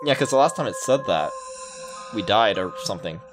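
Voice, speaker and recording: Low, animated man narrating over music. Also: a little fast. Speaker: let's play host. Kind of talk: reading visual novel aloud with casual commentary. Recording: music under the voice.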